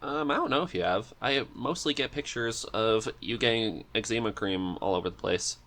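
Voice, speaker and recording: A man's voice: fairly low pitch, moderate pace, fairly bright tone. A man hosting a podcast in a casual, conversational interview style. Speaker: podcast host.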